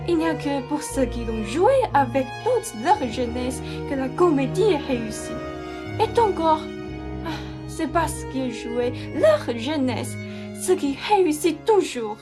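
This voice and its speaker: A woman's voice: high-pitched, big pitch swings, measured pace, over music. A young woman playing a world-weary, theatrical rich older lady in a French radio farce, with dramatic sighs. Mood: wistful. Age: young adult. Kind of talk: French stage farce performed as student radio play.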